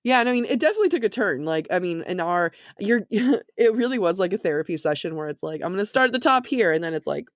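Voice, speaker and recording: A woman podcast host chats casually in a dry, close recording. She rambles, breaks off her own sentences and giggles easily, with a middling pitch. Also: expressive, fast talker, few pauses, no room sound. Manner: giggly, rambling, self-interrupting. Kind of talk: casual chat.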